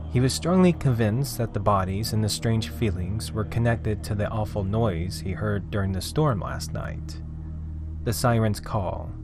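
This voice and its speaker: Man, lowish pitch, theatrical horror storytelling, suspenseful emphasis, clear music bed behind the voice. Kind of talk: scripted dramatic horror narration. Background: music under the voice.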